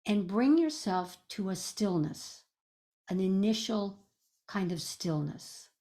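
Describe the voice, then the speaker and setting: A woman leading a guided meditation as a teacher, speaking in a gentle, soothing, measured way with pauses. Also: medium pitch, expressive.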